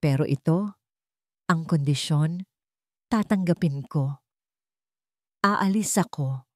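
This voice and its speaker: A woman's voice: deep voice, slow pace, no echo, clean studio sound. A woman reading a proud, resolute young mother. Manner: firm and steady.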